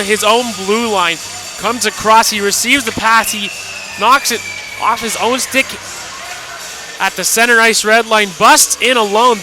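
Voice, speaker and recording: A man's voice: extremely high pitch, poor audio. A man giving live colour commentary on ice hockey, walking through each play step by step. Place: ice rink, live broadcast position.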